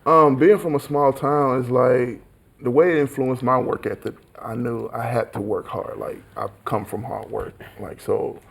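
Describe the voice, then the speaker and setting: Southern American man with a creaky voice. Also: medium pitch, steady tone, normal speed. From small town in Alabama, USA. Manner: informal, slangy, frequent "like" and "you know". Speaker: guest, recording engineer and mixer. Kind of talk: casual interview chat.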